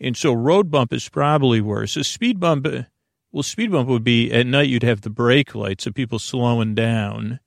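Creaky, meandering man hosting a sleep podcast. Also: medium pitch, no room sound, studio quality. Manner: meandering, halting, digressive, self-interrupting; soothing, lulling. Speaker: podcast host. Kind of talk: rambling bedtime sleep podcast monologue.

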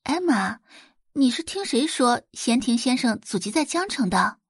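A woman's voice: fairly high pitch, very animated, a little slow, husky, no echo, clean studio sound, exaggerated voice. A woman voicing a proud, curious young daughter of a rich family in an audio drama, exclaiming and questioning.

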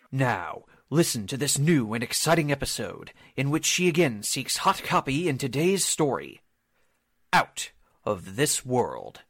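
A man's voice: mid-range voice, fairly bright tone, no room sound, clean studio sound. A man announcing a radio drama in an emphatic, scripted vintage style.

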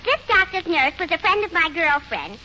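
Shrill, brassy New York gossip. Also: female voice, sing-song, moderate pace. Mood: tactless, insistent. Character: blunt, gossipy, tactless young tavern woman. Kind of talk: 1940s radio comedy acting. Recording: muffled, noisy 1940s radio recording.

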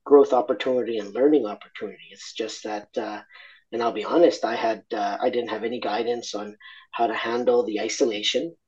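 A man from Argentina speaking candidly as an interview guest, reflective and halting, with many fillers and restarts. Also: on the low side, steady tone, a little mellow, dull audio.

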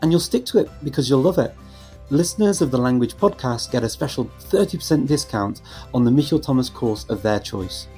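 A man hosting a podcast, asking questions in a measured way and reading sponsor messages. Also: mid-range voice, animated, mellow, soft background music. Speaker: podcast host.

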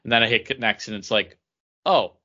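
A man's voice: on the low side, moderate pace, sharp tone, dull audio. A man co-hosting a podcast, casually telling an anecdote in everyday conversational speech. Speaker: podcast co-host.

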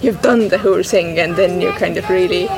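A woman's voice: lowish voice, a little fast, over loud music. Thoughtful woman, native Estonian speaker. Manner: thoughtful, hesitant, many restarts and "you know". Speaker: guest. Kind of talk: reflective conversation about a poem. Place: bookshop, indoors. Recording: noisy public bookshop.

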